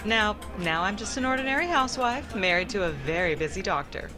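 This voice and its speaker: A woman's voice: medium pitch, lively intonation, fairly quick, music in the background, lightly acted. A woman playing a doctor's housewife in an old-time radio comedy style. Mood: eager. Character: doctor's wife, ordinary housewife and former singer.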